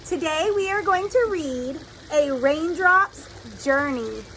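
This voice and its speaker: Female storyteller for children, lively swooping intonation, unhurried teacherly delivery, light noisy ambience. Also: sits high. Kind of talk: expressive read-aloud storytelling for children.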